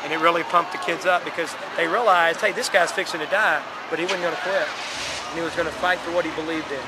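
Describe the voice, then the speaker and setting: Middle-aged man, American accent, earnest coach interview, somewhat high pitch, repeated phrases, very noisy outdoor recording. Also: fairly even intonation, quick pace. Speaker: high school football coach. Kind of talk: postgame interview. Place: outdoors at night by a stadium building. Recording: crowd chatter and loud outdoor field noise.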